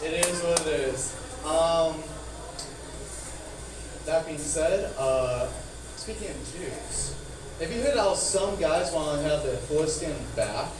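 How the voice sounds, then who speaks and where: Rambling male comic with soft R sounds. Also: fairly high pitch, slow, pauses often. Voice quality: speech impediment with soft R sounds. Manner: rambling, profane, self-deprecating. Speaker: stand-up comedian. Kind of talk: stand-up comedy at an open mic. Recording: echoey, noisy room, far from mic.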